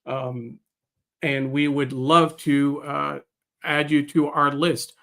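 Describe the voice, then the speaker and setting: A man co-hosting a live show casually, with a hesitant, halting delivery full of pauses. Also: fairly high pitch, level tone, gravelly, fairly bright tone. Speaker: co-host.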